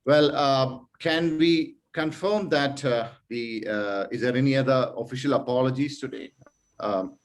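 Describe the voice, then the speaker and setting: A man's voice: mid-range voice, measured pace. A man chairing an online speaking club meeting, hesitant with fillers, then giving an earnest motivational talk full of rhetorical questions.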